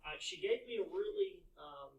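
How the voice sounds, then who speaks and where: A man's voice: sits high, animated, normal speed, lo-fi sound. Guy from the math team explaining, lots of um and uh, far off mic, echoey room. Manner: hesitant, many fillers while explaining. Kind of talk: casual explanation. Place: classroom.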